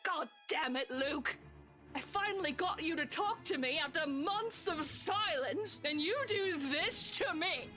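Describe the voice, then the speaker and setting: Bitter drunken mistress, high voice, overwrought, accusing, game character, music behind. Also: female voice, animated, unhurried, bright, forceful tone, exaggerated voice. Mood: bitter, furious. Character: drunk, venomous scorned mistress, pregnant, in her forties. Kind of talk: visual novel voice acting.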